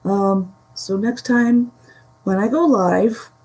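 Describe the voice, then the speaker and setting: A woman from the Buffalo area with a Western New York Great Lakes accent, chatting slowly with many pauses on a live stream from home. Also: medium pitch, a little mellow, poor audio. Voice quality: gravelly. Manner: slow, halting, many fillers. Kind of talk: casual live stream chat. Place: home room.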